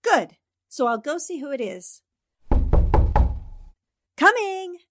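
A woman's voice: high voice, very animated, slow pace, background noise, full character voice. A woman acting a determined, easily exasperated newspaper reporter in a 1940s-style radio drama. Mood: wary, businesslike.

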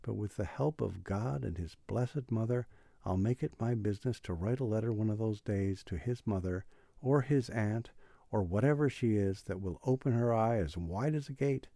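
A man's voice: fairly low pitch, normal speed, creaky, warm tone, no room sound, studio quality. A man voicing an angry, scornful Irish father in an audiobook novel, indignant and emphatic. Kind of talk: audiobook character dialogue.

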